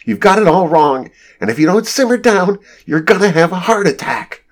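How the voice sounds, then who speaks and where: Man acting an urgent, high-pitched, exasperated young peacemaker woman. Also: animated, normal speed. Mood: exasperated, shouting. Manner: urgent, interrupting, exclaiming. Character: exasperated adult daughter pleading as peacemaker.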